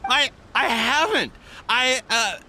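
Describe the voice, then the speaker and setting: A man's voice: very high voice, expressive, slow pace, bright, forceful tone, a little hiss or hum, heavily acted. A man voicing a nervous, shifty merchant in an anime game, flustered and pleading, stammering and over-eager. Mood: panicked.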